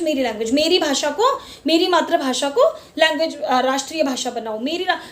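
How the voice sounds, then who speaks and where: A middle-aged Indian woman with a high voice talks straight to her viewers in a casual vlog. She speaks with fervor, drives her points home by repeating words, and drops English terms into her Hindi. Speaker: channel host. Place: lives in Mumbai.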